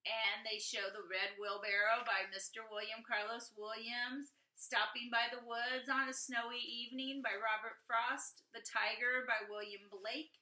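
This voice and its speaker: A woman teaching through a read-aloud, talking straight to young listeners in an encouraging way. Her voice is strikingly bright and a little high, with unhurried pacing, heard in an echoey room. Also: flat delivery. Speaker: teacher reading aloud to students. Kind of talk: expressive instructional read-aloud.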